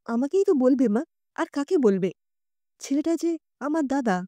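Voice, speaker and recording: Woman voicing an anxious, high-voiced young woman. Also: expressive, moderate pace, dark tone, no room sound. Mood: anxious, earnest. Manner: pleading, earnest. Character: anxious, soft-hearted young granddaughter confiding in elders.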